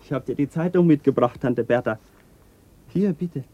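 An actor playing an eager, cheeky teenage schoolboy nephew in a radio drama, with a playful, halting manner. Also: male voice, medium pitch, lo-fi sound, close to an everyday voice.

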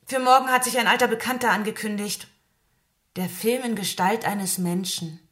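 A woman's voice: mid-range voice, steady tone, moderate pace, fairly bright tone, close to an everyday voice. An actress playing an insistent, reproachful nineteen-year-old woman in a radio drama. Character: reproachful nineteen-year-old woman, practical, pressing her older lover.

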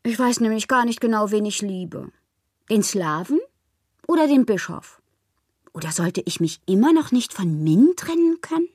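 An actress playing a passionate, capricious bohemian woman in a literary radio drama, theatrical and intimate, with a breathy voice. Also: mid-range voice, lively intonation, normal speed, darkish tone, dry recording, studio quality. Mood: restless, capricious. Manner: theatrical, intimate, often dropping to breathy murmurs. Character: dreamy, passionate, capricious bohemian woman writing love-struck letters.

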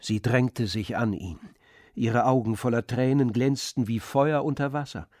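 A man reading scripted literary narration for a radio play in a measured storytelling manner. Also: mid-range voice, darkish tone, no room sound, clean studio sound.

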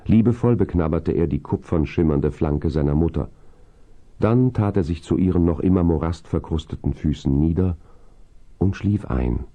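A man narrating a 1970s children's audio drama in a measured, descriptive storytelling manner. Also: deep voice, mellow, dull audio, lightly acted.

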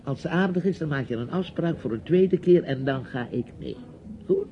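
A man's voice: a little high, expressive, normal speed. Theatrical bossy older man, swooping voice. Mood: scheming, curious. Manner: theatrical, rambling, commanding, with hesitations. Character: chatty, bossy, nosy older relative with a niece. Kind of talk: radio drama acting. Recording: dull, hissy old radio play recording.

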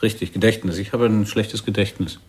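Ein Schauspieler spielt in einem Hörspiel einen lässigen, vergesslichen jungen Jazzkornettisten, mit rauer, umgangssprachlicher Sprechweise. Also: male voice, on the low side, steady tone, brisk, husky. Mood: weary, apologetic. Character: Bix, easygoing, forgetful young jazz cornetist. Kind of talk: German radio drama acting.

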